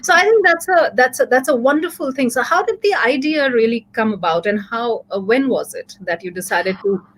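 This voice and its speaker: High-voiced chatty woman host. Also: fairly quick, hardly any pauses, slight background noise, rough recording. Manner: effusive, chatty, laughs often. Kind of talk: casual interview.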